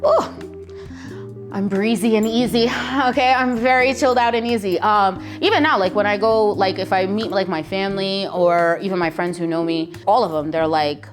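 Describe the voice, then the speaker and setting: A middle-aged woman with an American accent telling her life story in a relaxed, chatty interview, with lots of "um" and "like.". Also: medium pitch, moderate pace, soft background music. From Poland. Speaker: interview guest, lawyer.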